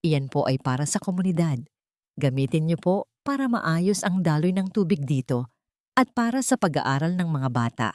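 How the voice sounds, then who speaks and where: A woman voicing a gentle, forgiving young heroine in an audiobook drama, soft-spoken and solemn. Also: sits low, big pitch swings, normal speed, no room sound. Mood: earnest.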